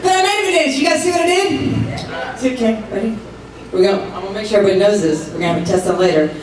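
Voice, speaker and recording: A woman's voice: mid-range voice, rapid-fire. A woman in her forties doing a live stand-up comedy routine as a playful storyteller, recorded from a distance on a club stage. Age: 40s. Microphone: handheld mic, captured by far camera. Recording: distant, echoey club recording with audience noise. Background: audience crowd noise and laughter.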